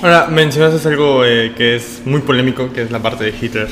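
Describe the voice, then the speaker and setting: A man's voice: mid-range voice, a little fast, fairly bright tone, slight background noise. A man hosting a casual interview, asking probing follow-up questions. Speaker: host.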